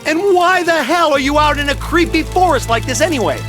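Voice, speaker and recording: An actor playing a brash, hot-headed but caring young ninja in an anime-style video game, blunt and emphatic. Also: male voice, extremely high pitch, lively intonation, brisk, fairly bright tone, soft background music. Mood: scolding. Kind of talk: video game voice acting in anime dub manner.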